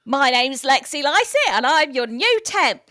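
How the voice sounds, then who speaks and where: A woman's voice: sits high, big pitch swings, unhurried, pushed and bright, no echo, studio quality, heavily acted. A woman playing a hapless, bemused office temp in an improvised comedy audio drama. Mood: cheery. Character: hapless female office temp reading silly questions aloud.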